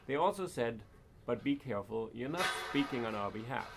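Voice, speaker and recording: A man's voice: medium pitch, expressive, brisk, vocal fry. A man hosting a podcast interview, asking probing questions and thinking aloud. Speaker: podcast host. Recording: faint background noise.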